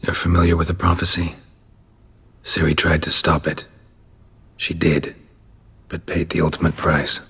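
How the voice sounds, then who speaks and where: Stoic, guarded witcher for a fantasy game: extremely low, flat delivery, terse and world-weary. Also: measured pace, long pauses, long reverb tail, heavily acted. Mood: grim, guarded. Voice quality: husky, gravelly. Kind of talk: cinematic fantasy game acting.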